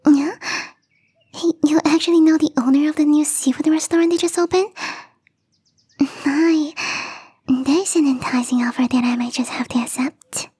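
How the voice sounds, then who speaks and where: A woman voicing a young catgirl gardener in an ASMR roleplay, teasing, coy and playfully smug, with a breathy, whispery voice. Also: high-pitched, steady tone, normal speed, pauses often, dark tone, no echo, close to an everyday voice. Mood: sly, mock threatening. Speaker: voice actress.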